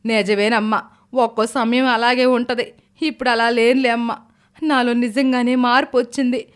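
A woman's voice: fairly high pitch, level tone, moderate pace, clear, resonant tone, natural voice. Woman playing an earnest older mother-in-law. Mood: remorseful, caring. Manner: earnest, reassuring.

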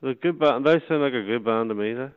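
A man's voice: mid-range voice, quick pace, clean tone. A man with a Northern Irish accent from Derry, chatting casually on the phone in an easygoing, bantering way while taking a booking query. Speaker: venue staff answering a phone booking. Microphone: telephone. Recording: muffled narrow-band phone line.

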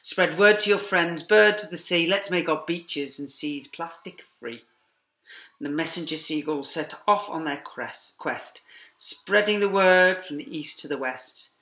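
A middle-aged man teacher reading a rhyming picture book aloud to young children in a lively sing-song way, with quiet asides to them, filmed on a laptop webcam in a classroom. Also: on the high side, unhurried. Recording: echoey classroom.

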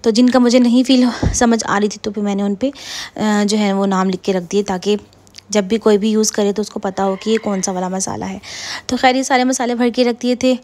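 A woman, casually narrating a home cooking vlog in a rambling way, with frequent fillers and soft laughs. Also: mid-range voice, fairly even intonation, moderate pace. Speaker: vlogger. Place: home kitchen.

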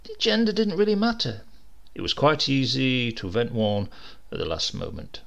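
Male audiobook reader, gravelly, animated delivery of a comic tale. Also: mid-range voice, moderate pace, highs cut off. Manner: lively, expressive reading with varied character voices. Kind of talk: scripted narration of a comic short story.

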